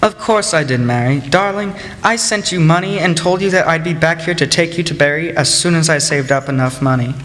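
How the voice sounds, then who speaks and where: A man's voice: fairly high pitch, fairly quick, hardly any pauses, fairly bright tone, natural voice. A man playing an earnest, proud and devoted young bridegroom in a radio play. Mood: tender, reassuring. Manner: earnest, polite. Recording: reverberant hall, faint noise.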